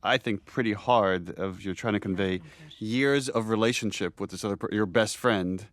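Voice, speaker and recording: A man's voice: on the low side, normal speed. A man talking casually in behind-the-scenes commentary as a game director.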